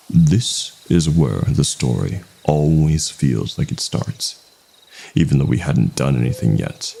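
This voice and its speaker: Male horror narrator: dark, mellow tone, very slow and suspenseful, heavy vocal fry. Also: very deep voice, slight background noise. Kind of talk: scripted first-person horror narration.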